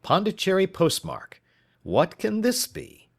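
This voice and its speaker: Man acting fierce old colonel, pitched up, exclaiming. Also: very animated, vocal fry, husky, heavily acted. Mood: startled. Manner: exclamatory, quick-tempered. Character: fierce, hard-drinking, reclusive old colonel uncle, gripped by terror. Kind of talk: audiobook character voice.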